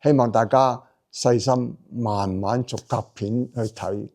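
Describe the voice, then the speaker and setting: Easygoing male travel vlogger, native Cantonese speaker, mid-pitched voice, chatty with filler pauses. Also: steady tone. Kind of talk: casual travel narration.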